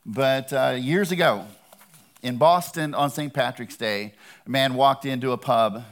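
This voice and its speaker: A man delivers a sermon as a visiting preacher. He talks to the congregation in a plain, conversational voice at an ordinary pitch, earnest and now and then gently joking. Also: unhurried. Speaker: guest preacher.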